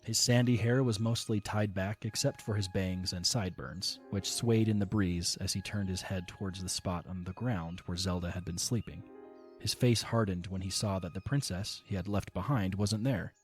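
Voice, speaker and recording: A male narrator with a fairly low voice reads the story in a steady, measured way. He tells the scene calmly between the characters' lines. Faint music sits under his narration. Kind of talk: storybook narration of an audio drama.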